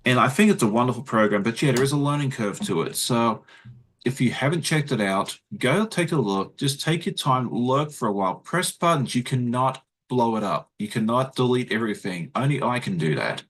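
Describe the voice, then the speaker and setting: An Australian man, a guest, talks quickly in a casual livestream chat with lots of fillers and restarts. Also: medium pitch, animated, a little hiss or hum. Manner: fast-talking, chatty, many fillers and restarts. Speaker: guest content creator.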